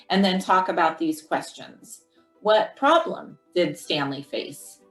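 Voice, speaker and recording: A woman hosting a children's story time, speaking clearly and encouragingly to young listeners. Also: medium pitch, reverberant. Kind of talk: friendly children's program wrap-up.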